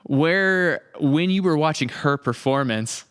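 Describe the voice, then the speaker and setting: A man hosting a podcast in casual chat, with a clear, smooth voice and a teasing manner. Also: fairly high pitch, animated, slow, fairly bright tone. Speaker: podcast host.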